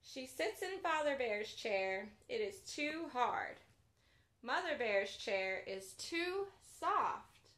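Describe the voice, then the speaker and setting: Young woman, children's storytime host. High, bright voice, expressive read-aloud addressed straight to little listeners. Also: takes it slowly. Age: young adult. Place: bedroom, sitting up in bed.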